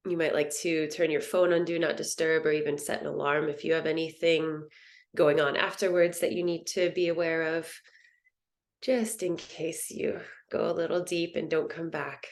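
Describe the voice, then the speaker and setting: A woman teaching a guided meditation in a soothing, gentle, unhurried manner. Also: deep voice, little pitch movement. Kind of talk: guided yoga nidra meditation.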